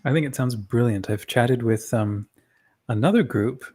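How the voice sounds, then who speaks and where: A man's voice: mid-range voice, normal speed, mellow. A young man hosting a show, chatting casually and upbeat as he interviews and promotes a creative community. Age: young adult. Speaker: podcast show host.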